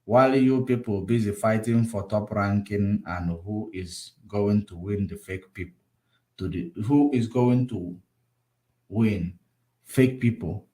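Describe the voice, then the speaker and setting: A man hosting a political livestream, combative and repetitive, swinging between loud outbursts and low muttering. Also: a little slow, low-quality recording. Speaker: livestream host. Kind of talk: casual political commentary livestream.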